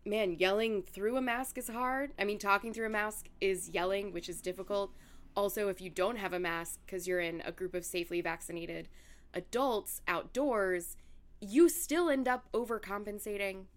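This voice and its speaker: A young woman with a husky, worn-out voice co-hosts a podcast. She chats loosely and laughs easily. She drifts into telling a story at a relaxed conversational pace. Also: normal speed. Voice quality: deep raspy voice from yelling. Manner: chatty, laughs while talking. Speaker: podcast co-host. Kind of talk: casual chat and storytelling.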